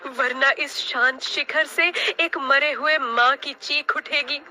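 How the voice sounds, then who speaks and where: A woman's voice: high-pitched, steady tone, slow, sharp tone, slight background noise, exaggerated voice. A woman voicing an anguished, defiant mother bird in a cartoon story, with impassioned, dramatic delivery. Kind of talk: Hindi cartoon story acting.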